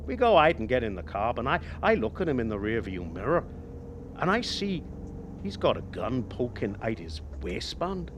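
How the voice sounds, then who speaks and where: An actor plays a man, once a cab driver, haunted by what he remembers. His halting, emotive radio-play storytelling swoops widely in pitch. Faint vehicle noise sits behind his voice. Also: very animated, close to an everyday voice. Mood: bitter, reminiscing. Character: haunted former cab driver, man, reliving a grim memory. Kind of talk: dramatic radio play monologue.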